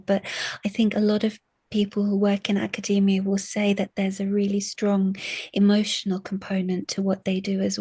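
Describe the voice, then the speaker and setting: A woman lecturer answering interview questions thoughtfully, pausing and restarting often. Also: mid-range voice, a little mellow, husky, no echo. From family from Syria. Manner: thoughtful, hesitant, many restarts. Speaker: guest, literature lecturer. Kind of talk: academic interview.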